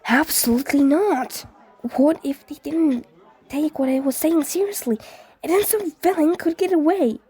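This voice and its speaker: A woman's voice: high-pitched, normal speed, dark tone, some chatter behind, voice pushed up, close to an everyday voice. A woman voicing an anxious, self-doubting middle school boy in a story reading, hesitant and easily flustered. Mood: frantic.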